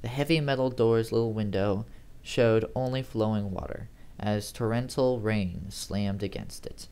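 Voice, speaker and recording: An amateur storyteller reads first-person horror fiction in a medium-pitched voice. The scripted narration is delivered dramatically, acting out the narrator's unease. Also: measured pace, mellow, smooth.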